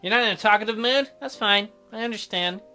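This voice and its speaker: A man voicing a shy, gentle, awkward doctor in a visual novel, with a hesitant and mild manner. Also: high voice, fairly quick, soft background music, full character voice. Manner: hesitant, mild, softly apologetic.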